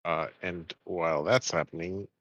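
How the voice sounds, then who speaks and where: A man's voice: sits low, steady tone, normal speed, fairly bright tone, no echo, highs cut off. A man talking casually as a player in a tabletop game.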